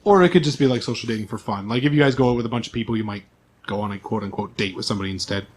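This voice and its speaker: A man's voice: on the low side, very fast. A man acting as game master in a casual tabletop session, prompting players with questions and repeating their answers back. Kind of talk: casual tabletop role-play session chat.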